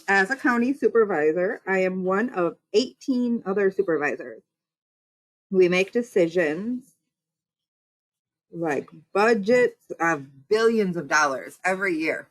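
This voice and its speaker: A woman's voice: on the low side, rough recording. A woman who is a county supervisor and state representative, answering interview questions and explaining things step by step in lists.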